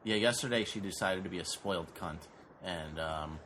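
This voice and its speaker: A man's voice: deep voice, moderate pace, fairly bright tone, a little hiss or hum. A man in his thirties hosting a casual podcast, dry and sarcastic, talking in a laid-back way with lots of fillers. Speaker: podcast host.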